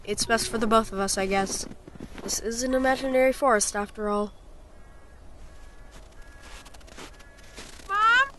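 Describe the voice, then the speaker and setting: A woman's voice: fairly high pitch, sing-song, brisk, sharp tone, a little hiss or hum. A woman playing a curious, earnest young boy in an audio storybook, with a childlike manner. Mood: resigned. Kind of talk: audio storybook character acting.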